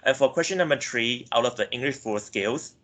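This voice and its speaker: A man's voice: mid-range voice, brisk, fairly bright tone. A young man from Myanmar giving a class presentation, hesitant, with frequent self-corrections and filler words. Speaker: student presenter.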